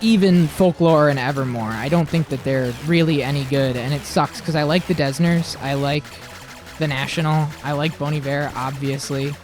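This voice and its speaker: Blunt high-voiced man chatting on stream. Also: fairly even intonation, normal speed, music in the background. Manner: opinionated, blunt, swears casually. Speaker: livestream co-host. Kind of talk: casual chat on a gaming stream.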